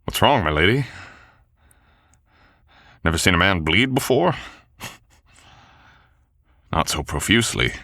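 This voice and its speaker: A rugged knight voiced by a man teases his lady in courtly old-world phrases, deep in the chest. His low voice swings widely and rings bright and forceful, recorded close and dry. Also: sing-song, measured pace, gravelly, pauses often, dry recording, heavily acted. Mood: teasing. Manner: teasing, old-fashioned courtly speech. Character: wounded rugged medieval knight, gallant and stubborn. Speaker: voice actor. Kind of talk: boyfriend ASMR roleplay audio.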